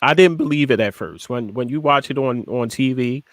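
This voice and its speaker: A man's voice: mid-range voice, normal speed, background noise. A man hosting a casual interview, laid-back, mostly giving short affirming replies. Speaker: talk show host.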